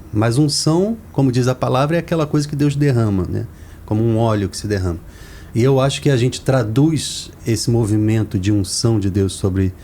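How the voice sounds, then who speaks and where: Male podcast host, Brazilian accent, mellow and lowish voice, casual chat delivery. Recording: clear background noise, room ambience.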